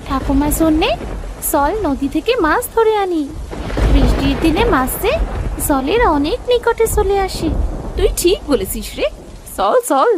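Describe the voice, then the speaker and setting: Woman as a high-pitched earnest village girl. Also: sing-song, unhurried, background noise. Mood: eager. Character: caring, timid young village sisters, poor orphan girls. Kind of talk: Bengali cartoon story acting.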